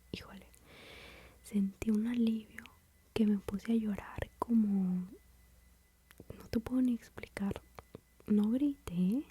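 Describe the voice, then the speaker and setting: A woman with a Mexican accent whispering softly as she tells a personal story about motherhood, in a confiding, rambling way. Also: mid-range voice, a little hiss or hum. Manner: soft, confiding, rambling with fillers.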